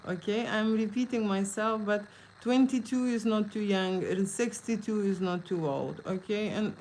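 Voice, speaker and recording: A woman's voice: medium pitch, a little mellow, a little hiss or hum, low-quality recording. A woman with a Lithuanian accent chatting casually on a livestream, answering viewers' questions and often adding "okay?" to her sentences. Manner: chatty, frequent "okay?" tags, non-native phrasing. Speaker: photographer hosting a live Q&A. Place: indoor studio. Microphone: phone.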